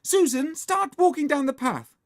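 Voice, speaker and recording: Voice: medium pitch, huge pitch swings, slow, fairly bright tone, pitched above the performer's own voice, exaggerated voice. A narrator voicing a moody, imperious young girl who snaps and screams orders in a children's storybook. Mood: snappish. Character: bossy, moody, shouting young girl director.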